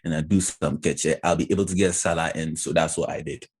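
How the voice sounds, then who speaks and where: A man's voice: rumbling low, steady tone, fairly quick, husky. A man with a British accent, from Ghana, co-hosting a podcast in a joking, slangy way. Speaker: podcast co-host. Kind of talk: casual banter.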